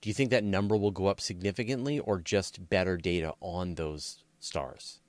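Low-voiced male interviewer, dry close sound. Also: normal speed, dry recording. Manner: informal, thinks aloud in long rambling questions. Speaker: interviewer, host. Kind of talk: casual conversational science interview.

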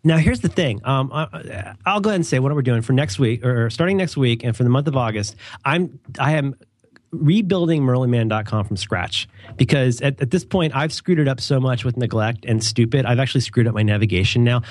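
A man's voice: medium pitch, animated, a little fast, darkish tone, no echo. A stuffed-up guy co-hosting a podcast from a dry, close mic, wandering off on wry tangents between sponsor plugs. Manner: rambling, digressive, wryly joking. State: congested with a cold. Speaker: podcast co-host. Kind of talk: casual podcast chat and ad read.

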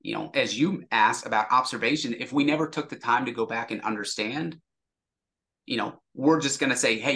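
Male, bright forward voice, mid pitch, casual interview guest, explanatory, conversational. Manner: explanatory, acts out example dialogue. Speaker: sales executive, podcast guest.

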